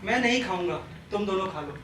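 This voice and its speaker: A man on stage playing a forgiving, gently moralising father, speaking politely with measured insistence. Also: high-pitched, animated. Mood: earnest, reproving. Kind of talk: Hindi stage play acting. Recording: echoey, distant stage recording with noise.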